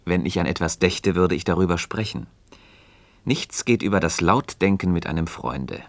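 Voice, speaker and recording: An actor playing a calm, cryptic Freemason in a radio dialogue, speaking formally and deliberately. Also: male voice, low voice, no room sound. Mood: composed. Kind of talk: German radio play dialogue.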